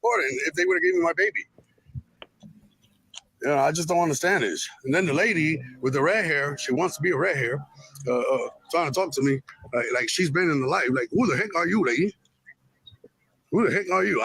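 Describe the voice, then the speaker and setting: A man with a deep, gravelly voice, recording himself on a phone while he angrily insists on taking his daughter home. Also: a bit bright, low-quality recording. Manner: heated, insistent, repetitive. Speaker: father recording a confrontation. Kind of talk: agitated self-recorded rant.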